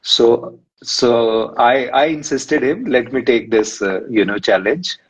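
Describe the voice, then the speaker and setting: A man's voice: mid-range voice, takes it slowly, husky. A man, a guest in a live-stream interview, telling his story in a rambling way with many fillers. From India. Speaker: guest, runner and psychologist. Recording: muffled lo-fi stream audio.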